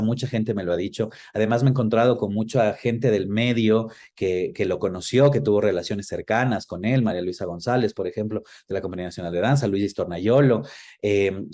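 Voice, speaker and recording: Mellow-voiced Ecuadorian man in conversation. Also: fairly low pitch. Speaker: interview guest, film professional. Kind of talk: conversational interview, personal recollection.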